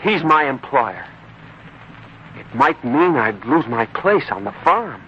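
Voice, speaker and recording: Worried young bridegroom, muffled vintage radio. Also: male voice, mid-range voice, very animated, slow pace. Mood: anxious. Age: young adult. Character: worried, respectful young bridegroom, a farm worker. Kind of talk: 1940s radio drama acting. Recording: muffled, noisy 1940s radio recording.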